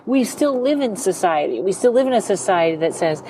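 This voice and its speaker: A woman hosting a podcast, chatting in a loose, conversational way with quick asides. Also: medium pitch, lively intonation, warm tone, slight background noise, poor audio. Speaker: podcast host.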